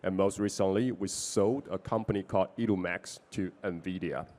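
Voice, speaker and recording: Male investor, Taiwanese accent, lowish voice, giving a business pitch in non-native English. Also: fairly even intonation, normal speed, warm tone. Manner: non-native English with small grammar slips. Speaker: venture capital investor presenting his fund.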